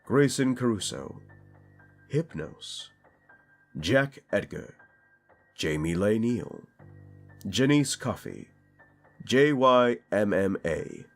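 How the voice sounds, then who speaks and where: Low-voiced man, slightly congested. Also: expressive, slow, pauses often, faint music behind. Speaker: voice actor and channel host. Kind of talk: casual address to listeners, thanking supporters.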